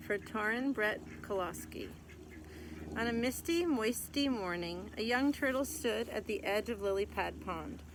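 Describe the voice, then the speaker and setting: A woman's voice: mid-range voice, expressive, a little slow. A woman reading a children's picture book aloud as a teacher would, in scripted narration. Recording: clear background noise.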